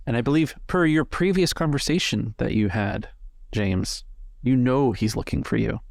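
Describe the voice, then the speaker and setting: A man's voice: medium pitch, moderate pace, vocal fry, dry recording, studio quality. A man narrating a tabletop roleplaying game as game master.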